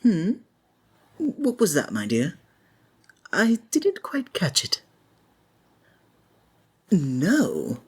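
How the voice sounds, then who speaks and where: Woman playing a breathy, flamboyant, sinister male magician. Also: fairly low pitch, wildly swooping pitch, drawn-out words, pauses often, full character voice. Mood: wounded, insistent. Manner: flowery, theatrical, honeyed, frequent drops into whisper. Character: flamboyant, sinister male stage magician, courteous, coaxing, possessive. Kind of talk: ASMR roleplay, theatrical monologue.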